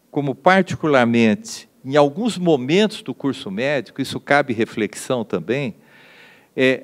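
Middle-aged Brazilian man, conference lecturer at a podium mic, mid-pitched, measured delivery with many fillers. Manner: measured, with frequent fillers and tag questions. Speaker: lecturer at a medical forum. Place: conference auditorium. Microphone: podium microphone.

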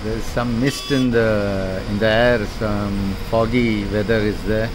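Male travel vlogger, chatty, narrating sights on a phone cam over steady road noise. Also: on the low side, slow pace. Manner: chatty, pointing out sights to viewers. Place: on a bus, then walking outdoors on a street. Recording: loud road and engine noise.

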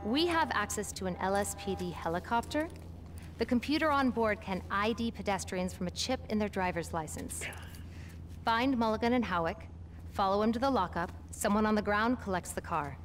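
An actress playing a cool, curt lawyer giving a clipped, businesslike mission briefing in a video game. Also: female voice, mid-range voice, moderate pace, close to an everyday voice. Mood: brisk, businesslike. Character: cool, curt female lawyer and job planner. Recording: long reverb, faint music behind.

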